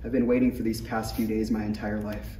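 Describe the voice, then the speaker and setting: Young lead: male actor as confident, sincere Jedi apprentice, dark soft tone, parody read in an echoey room. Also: fairly low pitch, flat delivery, a little fast, close to an everyday voice. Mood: tender confidence. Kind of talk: parody script table read. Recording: echoey room, distant mic, faint noise.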